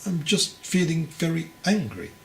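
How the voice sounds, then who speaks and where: A man's voice: a little high, very animated, measured pace, vocal fry, voice pushed up, heavily acted. A man voicing an anxious, petulant young male pupil who protests plaintively. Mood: puzzled, irritated. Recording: roomy echo, faint background noise.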